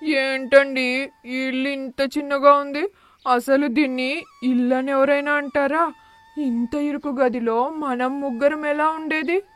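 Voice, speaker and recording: Woman playing a high-voiced naive young bride. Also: fairly even intonation, a little slow, a bit bright. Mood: dismayed. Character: young new bride, naive, anxious, easily dismayed. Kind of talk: animated moral story dialogue.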